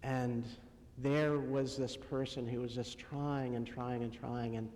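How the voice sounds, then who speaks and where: Male preacher, unhurried and halting, fairly high voice, conversational sermon delivery in a long-reverb church space. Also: steady tone, darkish tone. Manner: halting, pausing often between short phrases. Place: church sanctuary, amplified to a congregation.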